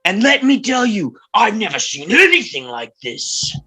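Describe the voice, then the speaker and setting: Showy, husky circus ringmaster, acted by a man. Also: sits high, sing-song, moderate pace, bright, forceful tone, faint music behind, full character voice. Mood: showy, grand. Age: middle-aged. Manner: grandiose, showy. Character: bombastic fifty-year-old circus ringmaster, small but titanic. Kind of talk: theatrical circus barker patter in a script table read.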